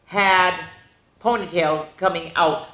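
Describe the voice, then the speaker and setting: Expressive woman storyteller in an echoey room. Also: on the low side, takes it slowly. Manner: expressive, exclaiming asides to listeners. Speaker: children's story time host. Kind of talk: reading a picture book aloud to children, with asides. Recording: roomy, echoing indoor sound.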